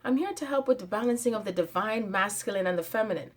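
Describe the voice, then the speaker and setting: Woman, mid-range pitch, emphatic spiritual monologue with repeated phrases. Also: quick pace. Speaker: channel host.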